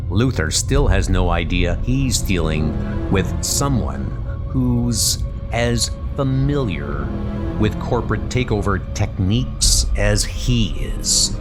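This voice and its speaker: A man voicing a stern vigilante detective in a comic book dub, deliberate, deadpan and analytical. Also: lowish voice.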